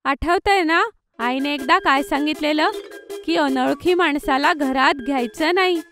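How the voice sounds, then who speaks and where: A woman voicing a helpful, cautious young girl in an expressive, childlike way for a children's cartoon. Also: high voice, unhurried, soft background music.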